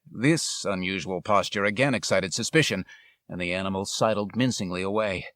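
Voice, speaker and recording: A man's voice: on the low side, dry recording. Man narrating a classic story, dry sound. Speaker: audiobook narrator. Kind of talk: scripted literary story narration.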